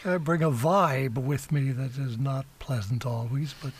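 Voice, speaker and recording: A man's voice: medium pitch, fairly even intonation, a little slow, breathy edge, dry recording. A man co-hosting a podcast, chatting casually with a dry, joking manner. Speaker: podcast co-host. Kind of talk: casual chat.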